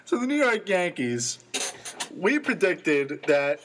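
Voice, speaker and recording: A young man co-hosting a college sports radio show, reading off stats in casual, excitable talk. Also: sits high, a little hiss or hum, poor audio. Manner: rattles off stats quickly, excitable.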